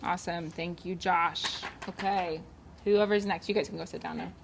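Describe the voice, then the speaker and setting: A woman's voice: lowish voice, steady tone, moderate pace, gravelly, background noise. A woman leading a volunteer training activity, giving informal instructions to a group in a casual, improvising way.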